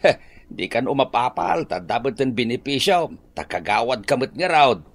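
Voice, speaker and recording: A man's voice: mid-range voice, moderate pace. A man with an Ilocano Filipino accent acting a cheerful, exclaiming village captain in a radio drama. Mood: explaining. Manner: animated, exclaiming, chuckling. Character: cheerful male village captain praising helpful residents.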